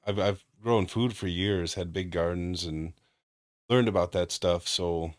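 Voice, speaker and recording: A man answering interview questions in a measured, explanatory way. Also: deep voice, no room sound, studio quality. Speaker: guest, cannabis grower.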